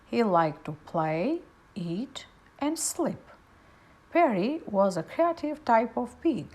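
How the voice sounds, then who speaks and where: A woman storyteller reading a picture book aloud to children in an expressive, deliberate way. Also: fairly high pitch.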